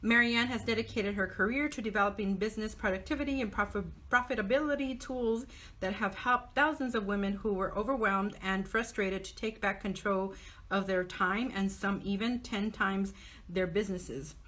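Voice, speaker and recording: A woman's voice: mid-range voice, steady tone, normal speed, fairly bright tone. A woman hosting a casual live online interview show, talking in an upbeat, encouraging way. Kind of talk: casual live interview, reading out a guest bio.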